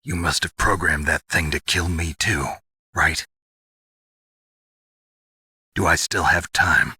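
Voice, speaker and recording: A man playing a gruff, world-weary covert soldier in a video game, with a gravelly, raspy voice and terse, intense delivery. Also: rumbling low, fairly even intonation, moderate pace, pushed and bright, no room sound, exaggerated voice. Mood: grim, pleading. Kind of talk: dramatic video game voice acting.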